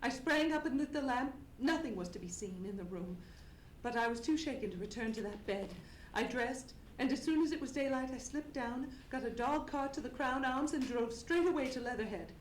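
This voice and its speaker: Woman playing a frightened high-voiced young gentlewoman. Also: lively intonation, a little fast. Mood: shaken, urgent. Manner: formal, dramatic storytelling. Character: frightened, grieving young gentlewoman recounting her terror. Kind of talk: live old-time radio drama acting. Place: theatre stage. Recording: echoey theatre stage, noisy live recording.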